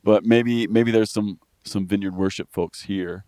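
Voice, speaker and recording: A man's voice: lowish voice, fairly even intonation, measured pace, mellow, no room sound, clean studio sound. An American man hosting a podcast, asking questions in an upbeat, casual way. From United States. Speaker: podcast host. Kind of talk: casual interview.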